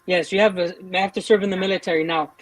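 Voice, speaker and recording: Blunt high-voiced veteran. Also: male voice, steady tone, quick pace, dry recording, rough recording. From Mexico. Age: 30s. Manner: blunt, insistent, repeats himself. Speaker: military veteran. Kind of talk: heated live panel debate.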